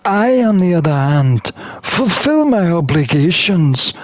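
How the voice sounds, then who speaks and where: A man reading a visual novel aloud in an expressive storytelling style. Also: high-pitched, slow pace, no echo. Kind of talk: expressive scripted narration and character reading.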